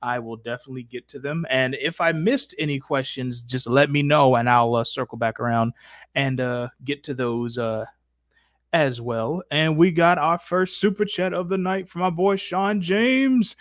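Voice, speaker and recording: A man's voice: medium pitch, moderate pace, a bit bright, dry recording, clean studio sound. A man hosting a casual livestream Q&A with slangy, mock-exasperated banter. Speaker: livestream host.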